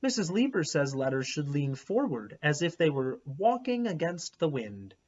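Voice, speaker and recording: A man's voice: fairly high pitch, very animated, moderate pace, darkish tone. A man voicing a stubborn, cheeky third-grade girl in a storybook reading, pert and self-assured. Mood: pert, curious. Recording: dull, lo-fi recording.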